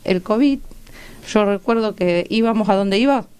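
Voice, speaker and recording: Clear-voiced Argentine woman explaining health matters. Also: medium pitch, moderate pace, darkish tone. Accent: Argentine Rioplatense. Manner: didactic, checks in with rhetorical tags. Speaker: guest doctor and medical researcher. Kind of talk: radio interview, explaining health advice conversationally.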